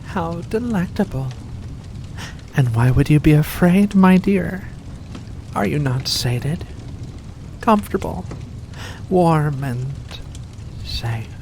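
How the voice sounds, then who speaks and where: A woman's voice: sits low, expressive, slow pace, pauses often, dark tone, slight background noise, full character voice. A woman voicing an ancient, seductive furry vampire in an ASMR roleplay, coaxing and genteel with veiled menace. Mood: predatory relish. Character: ancient predatory vampire with furry paws, seductive, faux-kindly. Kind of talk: ASMR roleplay addressed to the listener.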